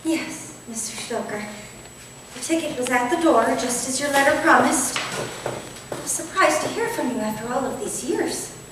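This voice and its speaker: A woman's voice: high-pitched, expressive, normal speed. A woman playing a candid, modest Victorian vicar's wife in a staged play reading, wistful, hesitant and confiding. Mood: reserved, wistful. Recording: echoey hall from far off, faint noise.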